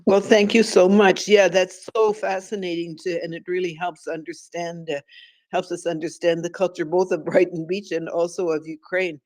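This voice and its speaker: A man with a fairly high voice thanks a guest and reacts with warm appreciation. The sound is dry and close. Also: little pitch movement, moderate pace, dry recording. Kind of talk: casual chat.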